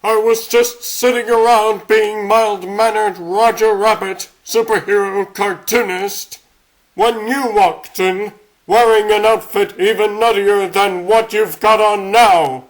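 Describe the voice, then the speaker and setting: A man's voice: high-pitched, fairly even intonation, takes it slowly, poor audio. A man voicing a plucky, sarcastic little talking rabbit superhero in a cartoon comic dub. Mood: indignant, teasing. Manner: sarcastic, chatty, quipping. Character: wisecracking, plucky three-foot talking rabbit superhero, male.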